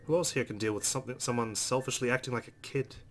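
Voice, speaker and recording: A man's voice: mid-range voice, quick pace. A man hosting a let's play, reading visual novel narration aloud expressively with casual asides. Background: game music.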